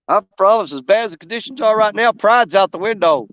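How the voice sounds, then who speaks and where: Man, hoarse, self-mocking outdoors streamer, clear and dry. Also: high-pitched, lively intonation, a little fast, a bit bright, dry recording, muffled recording. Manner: rambling, self-mocking, drawling. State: losing his voice, coughing. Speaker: fishing vlogger streaming live. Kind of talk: casual live-stream chat while fishing. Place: outdoors by a river.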